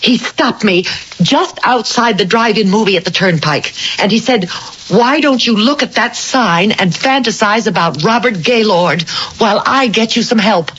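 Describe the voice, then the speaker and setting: An actress playing an anxious, defensive housewife in a radio drama, pleading earnestly with hesitant starts. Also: female voice, mid-range voice, moderate pace, a bit bright, close to an everyday voice. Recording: dull, hissy old radio recording.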